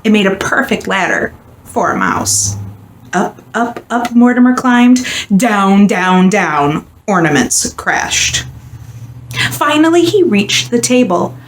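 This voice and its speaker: Slow, animated woman reading a storybook. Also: mid-range voice. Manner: dramatic, deliberate storytelling. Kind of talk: expressive children's picture book reading. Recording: echoey room, faint background noise.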